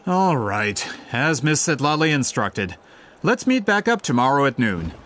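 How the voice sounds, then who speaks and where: A man's voice: mid-range voice, very animated, measured pace, a bit bright, a little hiss or hum. A man voicing a courteous, eager and persistent merchant in a game cutscene. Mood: polite. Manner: courteous, eager, slightly awkward. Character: polite eager male merchant, brisk and persistent.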